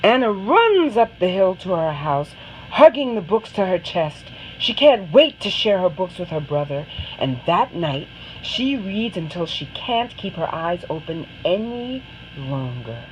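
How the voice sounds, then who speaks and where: A woman reading a children's story aloud with dramatic, deliberate phrasing. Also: fairly low pitch, sing-song, slight background noise, rough recording. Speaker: storybook reader.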